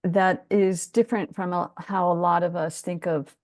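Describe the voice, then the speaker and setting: A woman's voice: fairly low pitch, fairly even intonation, moderate pace, darkish tone, no room sound, studio quality. A woman with an American accent, interviewing in a conversational way. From United States.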